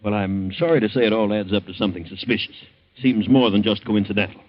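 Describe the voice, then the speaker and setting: An actor playing a measured family doctor in a 1940s radio drama, speaking in a hesitant, deliberate way. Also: male voice, lowish voice, close to an everyday voice. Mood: reluctant, grave. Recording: dull vintage 1940s radio recording.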